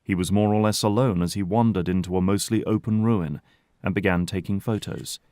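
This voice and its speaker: A man's voice: low voice, fairly quick, mellow, dry recording, studio quality. A man narrating an audio drama in a scripted, dramatic storytelling style.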